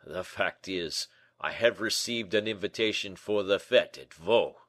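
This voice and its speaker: A man voicing a vain, rich, big nobleman in an audiobook, slow, emphatic and melancholy. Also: low voice, a bit bright. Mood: gloomy. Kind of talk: audiobook character acting.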